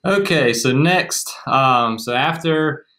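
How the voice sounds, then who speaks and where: A man's voice: mid-range voice, takes it slowly, sharp tone. A young man with an American accent, explaining things casually as an educational co-presenter. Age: young adult. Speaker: agriculture extension agent, co-presenter. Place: small room at home, indoors.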